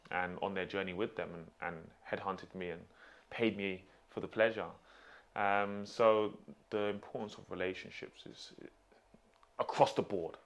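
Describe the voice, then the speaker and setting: A man hosting a vlog, telling a personal story casually in an animated, tongue-in-cheek, conversational way. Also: deep voice. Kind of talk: casual anecdotal storytelling with life lessons.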